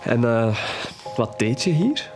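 Male friend, informal and teasing, audio drama dialogue; medium pitch, light music underneath. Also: big pitch swings, slow pace. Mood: curious.

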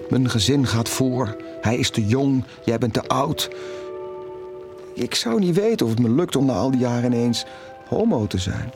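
A man acts out a conflicted middle-aged father in an audio drama. His voice sits low and he speaks in a confiding, hesitant, reflective way. Faint music plays behind him. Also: darkish tone, lightly acted. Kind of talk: intimate naturalistic audio drama dialogue.

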